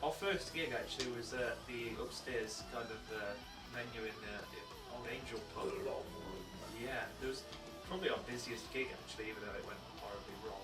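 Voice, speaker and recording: A young English man keeps a laid-back, joking tone and swears casually. His voice sits at an ordinary middle pitch and comes from some way off in a reverberant room. Music plays loudly behind him. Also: moderate pace, recorded from a distance. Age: young adult. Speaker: guitarist in a band. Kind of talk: casual interview.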